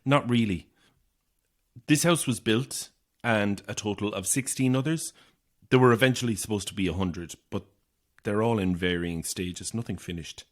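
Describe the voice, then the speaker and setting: An actor as a lonely Irish man in his thirties with a lowish voice. He is polite and a little hesitant, with a dry weariness, played naturally as in an audio drama. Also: moderate pace, natural voice. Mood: subdued, explanatory. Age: 30s. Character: lonely, weary man in his thirties stuck on a ghost estate.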